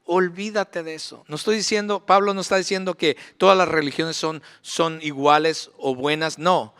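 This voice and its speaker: A man's voice: a little high, fairly even intonation, moderate pace, a bit bright, clean tone. A middle-aged male pastor preaching a sermon in a church, emphatic, with rhetorical questions. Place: church sanctuary.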